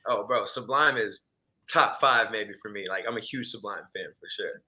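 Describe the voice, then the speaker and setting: Bright-voiced laid-back young man. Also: on the low side, normal speed. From Texas, born in Ecuador. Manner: laid-back, slangy, laughs easily. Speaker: musician guest. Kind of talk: casual chat.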